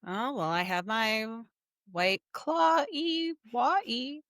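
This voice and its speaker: A woman's voice: on the high side, big pitch swings, slow, a bit bright, no echo. American woman podcast cohost, chatty, tells little stories, casual, very dry sound. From New Jersey, United States. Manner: chatty, anecdotal storytelling. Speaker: podcast co-host. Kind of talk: casual chat.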